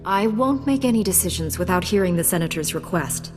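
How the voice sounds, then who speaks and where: An actress playing a composed, dutiful Jedi commander in a video game, speaking in measured, terse, resolute lines. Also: female voice, lowish voice, expressive, music in the background, lightly acted. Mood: calm resolve. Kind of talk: video game cutscene dialogue.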